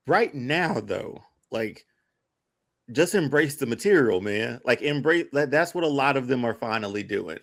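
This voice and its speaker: A man's voice: medium pitch, animated, a little slow. A man chatting casually as a podcast panelist, slangy and emphatic, often repeating his phrases for weight.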